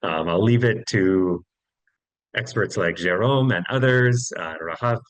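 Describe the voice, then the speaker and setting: A man panelist closing his remarks on a webinar over a video call, measured and polite. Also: fairly low pitch, no room sound. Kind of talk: webinar presentation.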